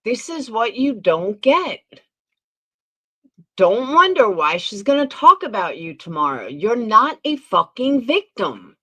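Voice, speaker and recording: A woman's voice: on the high side, big pitch swings, slow pace, sharp tone. A woman hosting a livestream, talking sarcastically and emphatically. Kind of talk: livestream commentary.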